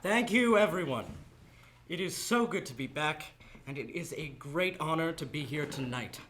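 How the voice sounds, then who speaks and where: A man's voice: fairly high pitch, animated, normal speed, a bit bright. A man playing a charming, earnest star actor and philanthropist in a live radio drama, courteous in manner. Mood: gracious, earnest. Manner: earnest, courteous, smooth-talking. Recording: noisy live studio stage recording.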